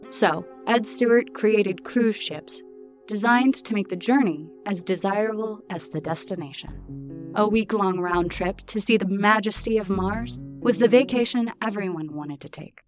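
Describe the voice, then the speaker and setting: An actress playing an informative space probe archive, giving measured scripted narration in a sci-fi audio drama. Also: female voice, medium pitch, a little mellow, lightly acted.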